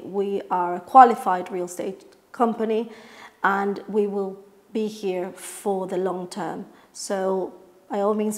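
A woman's voice: medium pitch, a little mellow. Middle-aged Colombian woman explaining her business. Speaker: real estate agent, family business owner. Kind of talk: promotional company talk to camera. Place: living room, on a sofa indoors.

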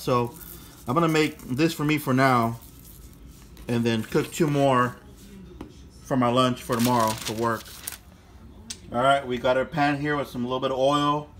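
Easygoing middle-aged dude cooking at home, talks to the camera like friends, pan sizzling behind him. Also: male voice, medium pitch, a bit bright. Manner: laid-back, chatty, talks to viewers as "guys". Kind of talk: casual cooking vlog. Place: home kitchen, indoors. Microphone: phone camera held while cooking. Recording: phone recording with cooking noise. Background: frying sizzle and kitchen noise.

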